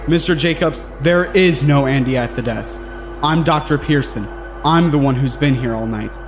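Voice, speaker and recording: Horror audio drama: male actor as stern, tired doctor, firm and authoritative, medium pitch, music underneath. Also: moderate pace, natural voice. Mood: firm, controlled. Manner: firm, authoritative, clipped orders. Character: stern, weary hospital doctor on night duty. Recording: music behind the voice.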